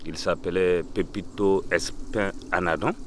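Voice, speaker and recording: A man's voice: low voice, fairly even intonation, unhurried, a little hiss or hum. A man from Burkina Faso, leading a bronze-casting workshop and talking in a documentary interview, hesitant and full of fillers. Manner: hesitant, with many fillers and restarts.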